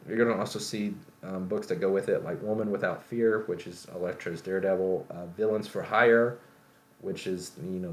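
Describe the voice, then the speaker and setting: A man hosting a podcast with a casual solo pop-culture recap, talking in a rambling, halting way with lots of fillers. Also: deep voice. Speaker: podcast host. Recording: roomy echo, faint background noise.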